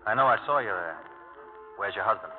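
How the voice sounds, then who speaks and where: Actor as fast-talking hard-boiled schemer, old radio. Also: male voice, on the low side, animated, lightly acted. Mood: cool, flirtatious. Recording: tinny old radio broadcast, music behind.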